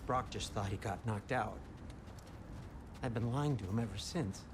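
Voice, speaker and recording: A man's voice: on the low side, moderate pace, background noise, close to an everyday voice. An actor playing an anxious but kindly, fussy male dwarf in a video game cutscene. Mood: guilty, confessional.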